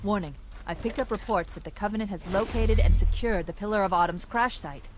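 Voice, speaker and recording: A woman playing a calm, sharp female military AI companion in a video game, with a crisp, businesslike briefing delivery. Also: mid-range voice, brisk, background noise, natural voice. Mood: urgent, composed. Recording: loud game music behind.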